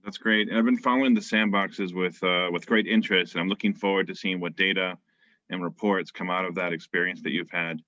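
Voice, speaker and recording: A man's voice: low voice, steady tone, fairly quick, pushed and bright, no room sound. A middle-aged man hosting a video call interview, polite and encouraging, with the odd um. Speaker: interview host. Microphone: webcam on video call. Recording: dry muffled video call audio.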